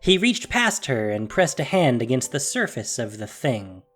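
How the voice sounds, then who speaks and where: Female narrator for fantasy audio drama: solemn, emphatic, with animated pitch movement over soft music. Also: normal speed. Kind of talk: dramatic scripted story narration.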